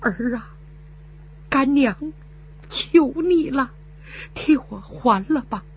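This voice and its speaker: A woman voicing a gravely ill old mother, speaking weakly and tearfully in a spoken story. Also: high voice, expressive, takes it slowly, highs cut off, pitched above the performer's own voice, heavily acted. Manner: weak, pleading, tearful.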